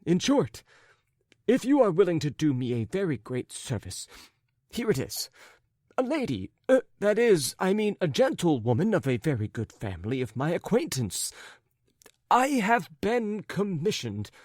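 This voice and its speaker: A flustered middle-aged gentleman from a storybook, acted out by a man, pitched high and swooping up and down. He is wordy and apologetic, forever stumbling and correcting himself in an agitated, muddled way. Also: huge pitch swings, measured pace, no echo, studio quality, full character voice. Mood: flustered, pleading.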